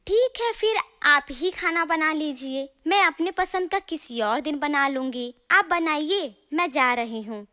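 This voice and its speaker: Woman voicing young cartoon daughter-in-law, high pitch, sharp bright tone, defensive, complaining, huffy. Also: expressive, measured pace, hardly any pauses, heavily acted. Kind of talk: animated moral story dialogue.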